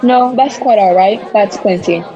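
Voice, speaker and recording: A woman's voice: mid-range voice, unhurried, lo-fi sound, natural voice. A woman playing a radio news reporter in a radio drama, giving dramatic, formal live commentary from the scene. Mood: intrigued. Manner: dramatic, formal on-the-spot describing. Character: excited radio field reporter, a woman, describing a mysterious landing. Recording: busy crowd noise around the voice. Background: crowd in a public space.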